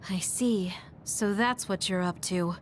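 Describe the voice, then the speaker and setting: A woman's voice: medium pitch, slow, a little hiss or hum, lightly acted. An actress voicing a sensible, protective young woman teammate in an anime game dub, firm and candid. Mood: concerned.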